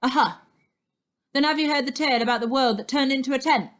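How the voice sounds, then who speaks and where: A woman's voice: high voice, fast talker, a bit bright, voice pushed up. A woman voicing a mocking, snarling fairground boorman in a storybook, with growled, taunting questions.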